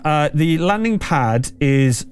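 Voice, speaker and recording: A man's voice: on the high side, animated, slow, soft background music. A man running a tabletop roleplaying game, narrating and explaining in a conversational, slightly hesitant way. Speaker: game master.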